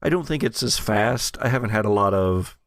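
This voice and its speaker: A man's voice: fairly low pitch, expressive, brisk, no room sound. An American man hosting casual banter among friends with dry, joking understatement. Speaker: podcast host.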